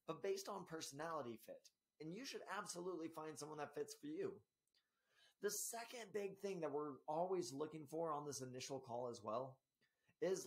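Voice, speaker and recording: Man, 30s, solo podcast host, slightly scratchy, higher-set voice, animated office delivery. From Spokane area, US. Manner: energetic, gestures a lot, frequent tag "right?". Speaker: podcast host and health coach. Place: small office in front of a banner.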